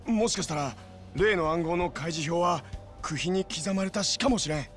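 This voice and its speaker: An actor playing an earnest, deferential young yakuza underling in a game cutscene, explaining things with a Hiroshima accent. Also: male voice, high voice, normal speed, fairly bright tone, soft background music. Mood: excited. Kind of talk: video game cutscene acting.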